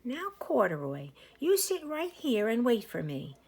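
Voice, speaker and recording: A woman's voice: on the high side, wildly swooping pitch, a little slow, darkish tone, slightly rough, voice pushed up, heavily acted. A woman voicing a caring little girl, earnest and exclamatory, in a storybook. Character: little girl, caring and attached to her bear.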